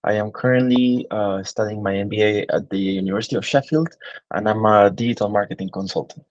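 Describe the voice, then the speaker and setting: A man's voice: on the low side, brisk, dry recording, dull audio. A man in his twenties from Peru, a digital marketing consultant answering interview questions thoughtfully, with many fillers and restarts. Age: 20s.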